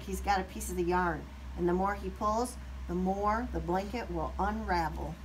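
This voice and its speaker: A woman storyteller reads to young children at an unhurried pace. Her pitch is medium and her delivery expressive, with explanatory asides. A little noise sits in the recording. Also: poor audio. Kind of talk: children's picture book read-aloud with asides.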